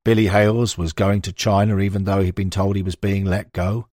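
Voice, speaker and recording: A man with a husky voice reads a non-fiction football book as scripted narration. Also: low-pitched, flat delivery, brisk, darkish tone, no room sound, clean studio sound. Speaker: audiobook narrator.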